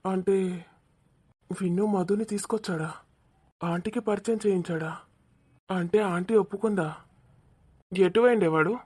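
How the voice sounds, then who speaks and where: Woman playing a mid-pitched, cheeky Telangana lad. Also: moderate pace, long pauses, a little mellow, slightly rough, lightly acted. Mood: anxious. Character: cheeky, evasive young village man. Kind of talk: cartoon village comedy acting.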